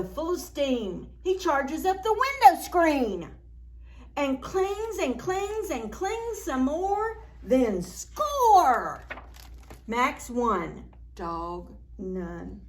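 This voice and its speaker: A woman with a fairly high voice reads a children's picture book aloud. Her pitch swings widely and she takes her time over the words. The delivery is playful and dramatic, pitched at very young listeners. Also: very slow. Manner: animated, playful, dramatic reading with gasps and exclamations; addresses young children with simple questions. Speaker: children's library storyteller.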